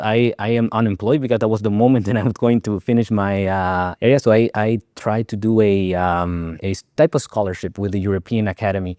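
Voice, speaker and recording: A man's voice: fairly low pitch, a little mellow, dry recording, studio quality. A man from Guatemala speaking English as a second language after Spanish, a doctor telling his career story in an interview, with many fillers and restarts. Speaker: allergist guest. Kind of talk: conversational interview.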